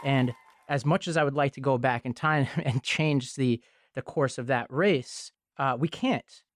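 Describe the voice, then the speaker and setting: A man co-hosting a podcast, opening with a joke and then delivering the week's news in a steady, factual way. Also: medium pitch, a little slow, heavy background noise. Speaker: podcast co-host. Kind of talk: reading news summary.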